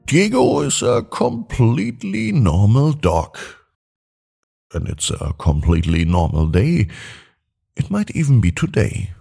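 Slow, husky man telling bedtime stories. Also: medium pitch, huge pitch swings, mellow. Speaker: bedtime story podcast narrator. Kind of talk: scripted children's bedtime storytelling.

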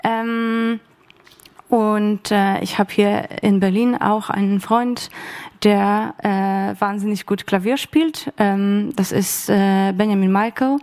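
Slow, halting woman with an even voice. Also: mid-range voice. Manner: very halting, searching for words. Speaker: radio play author on a panel. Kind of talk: unscripted panel talk. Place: stage of an arts academy event.

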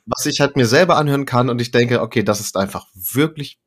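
A man's voice: medium pitch, fairly quick, breathy edge. Male podcast guest, casual interview chat, rambling delivery with self-interruptions and emphatic repetition, mid-range voice. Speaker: podcast guest, poetry slammer and author.

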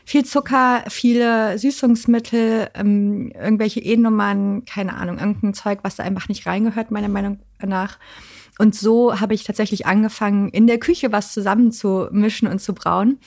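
A woman's voice: medium pitch, fairly even intonation, a little mellow. Young woman founder, casual and chatty, fillers, mid-range voice. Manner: chatty, many fillers, quick asides. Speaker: founder and podcast guest. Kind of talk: casual interview chat.

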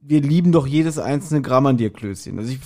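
Man, halting casual podcast talker. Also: medium pitch, lively intonation, warm tone. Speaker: podcast co-host.

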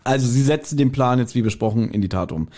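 A man's voice: medium pitch, quick pace, warm tone. A man hosting a podcast, casually retelling and reviewing a story. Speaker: podcast host. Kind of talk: casual chat, retelling and reviewing an audio drama.

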